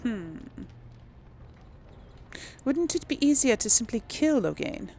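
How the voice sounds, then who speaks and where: A woman's voice: a little high, very animated, slow pace, darkish tone, slight background noise. A woman hosting a gaming video, role-playing casually and musing aloud in a hesitant way. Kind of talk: casual role-play reactions over a game.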